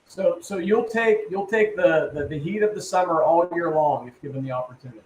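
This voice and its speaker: A man's voice: on the high side, fairly quick, darkish tone. A man hosting a casual talk show who rambles, repeats his words and keeps checking in with "right?" and "man". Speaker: host. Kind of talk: casual chat. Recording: echoey room with faint ambience.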